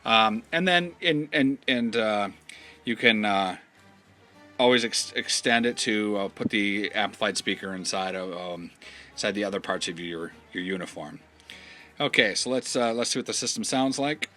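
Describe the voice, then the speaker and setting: A man with a slightly low, bright voice walks through product instructions step by step. His delivery is casual and halting, often trailing off as he explains. Faint music sits behind him.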